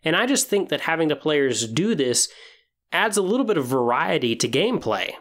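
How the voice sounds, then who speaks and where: A podcast host speaks alone in a conversational, explanatory manner. The voice sits at a middle pitch, suited to walking listeners through tabletop role-playing ideas. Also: very animated, normal speed, fairly bright tone.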